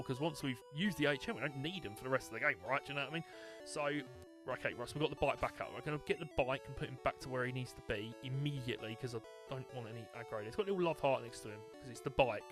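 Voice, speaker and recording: Chatty British man over faint game music. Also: medium pitch. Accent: British English. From United Kingdom. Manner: chatty and animated, with lots of fillers and restarts. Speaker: streamer. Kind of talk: live gameplay commentary.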